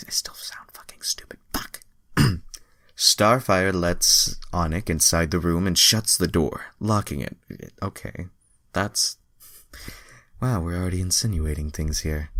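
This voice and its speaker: A man's voice: sits low, a little slow, a bit bright, no room sound. A man reading fan fiction aloud as an amateur narrator, breaking into irreverent, self-deprecating asides.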